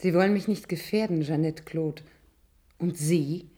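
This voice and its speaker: A wary ordinary citizen in a radio drama. Her voice is slightly low and husky, and she speaks formally, hesitant and questioning, reluctant to be drawn in. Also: a little slow, mellow. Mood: anxious, resisting. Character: wary, reluctant ordinary female citizen, chosen test subject. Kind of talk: radio play dialogue.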